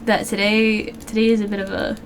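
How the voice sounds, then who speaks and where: Female streamer, casual rambling chat, low-key tired delivery, voice a bit worn, faint typing behind. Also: flat delivery, fairly quick, a little hiss or hum. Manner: rambling, chatty, many fillers and restarts. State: low-energy, throat a little worn. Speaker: live streamer and artist. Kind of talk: casual live-stream chat while drawing.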